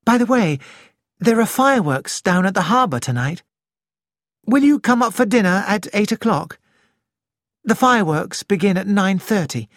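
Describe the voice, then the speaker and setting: Scared young woman, as the reader puts her on, quick shaky lines, very dry close sound. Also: medium pitch, lively intonation, no echo, pitched above the performer's own voice, lightly acted. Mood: brittle. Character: frightened young woman, brittle brave front.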